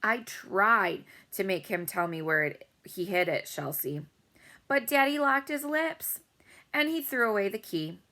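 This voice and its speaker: A woman's voice: mid-range voice, lively intonation, measured pace, sharp tone. A woman voicing a spoiled, boastful rich little girl in a storybook, squealing and bragging. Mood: whiny.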